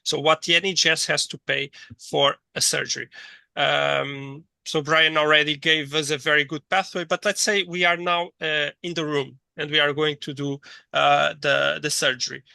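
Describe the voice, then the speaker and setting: Bright, dry-voiced man lecturing, thinking aloud. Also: a little high, little pitch movement, moderate pace, creaky, no echo. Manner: hesitant, thinking aloud with repeated words. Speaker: course lecturer. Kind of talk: interactive online teaching, reading chat replies.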